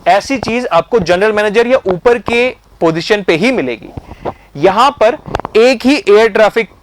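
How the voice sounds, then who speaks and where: A man's voice: high-pitched, lively intonation, a little slow, a little hiss or hum, rough recording. A man with an Indian accent giving an explanatory lesson as an instructor, going step by step and mixing in English terms. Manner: methodical, explains step by step, repeats check phrases; mixes English terms into speech.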